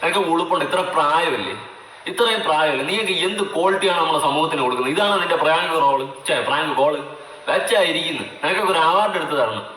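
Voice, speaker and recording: A man delivers scolding, sarcastic commentary. His voice is high for a man, bright and forceful. The recording sounds roomy, a little away from the mic. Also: expressive, quick pace. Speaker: reaction video commentator. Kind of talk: informal reaction commentary. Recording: roomy, distant mic, faint noise.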